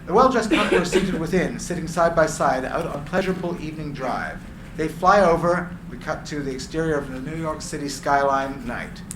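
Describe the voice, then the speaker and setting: A man reading screenplay stage directions as narrator, with deliberate descriptive phrasing. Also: on the high side. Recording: echoey room, clear background noise.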